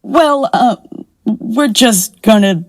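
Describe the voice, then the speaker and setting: A woman's voice: a little high, animated, very slow. A woman in an audio drama playing a guarded, weary scientist turned rancher, speaking in a hesitant, understated way. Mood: nervous, evasive.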